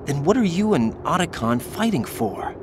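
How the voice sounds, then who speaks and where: A man's voice: a little high, very animated, moderate pace, noisy background. An actor in a video game cutscene playing a troubled young male soldier, intense and questioning. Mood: anguished.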